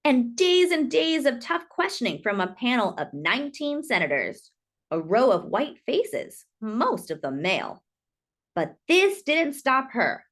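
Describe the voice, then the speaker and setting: A woman narrates a picture book for children in a fairly high voice. Her intonation is very lively, rising and falling widely. She phrases things deliberately and stretches key words for dramatic emphasis. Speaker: storyteller reading a picture book aloud. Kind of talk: expressive children's read-aloud narration.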